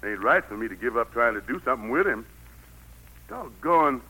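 Commanding, folksy Lord God, bright forceful voice. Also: male voice, mid-range voice, expressive, a little fast, slightly rough, rough recording. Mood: musing, decisive. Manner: folksy, authoritative, plain rural speech. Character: the Lord, commanding yet homespun, brooding patriarch God. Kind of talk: 1940s-50s radio play acting. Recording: vintage radio broadcast recording.

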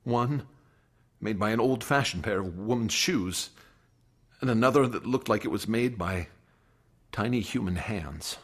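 An actor playing a troubled, earnest young student in a radio drama, speaking hesitantly and with feeling. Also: male voice, on the low side, measured pace, pauses often. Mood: troubled, remorseful.

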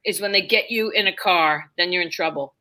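A woman with a New York accent, telling lively stories as a podcast guest and acting out the people in them. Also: on the low side, a little fast, poor audio. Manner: animated, acts out voices in her stories. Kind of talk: casual storytelling chat.

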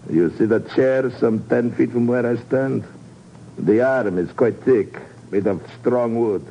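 An actor playing a suave, heavy-set spy in a 1950s radio drama, pompously courteous and menacing. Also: male voice, medium pitch, measured pace, close to an everyday voice. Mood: quiet menace. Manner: silky, pompous, chuckling politeness. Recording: muffled, noisy 1950s radio recording.

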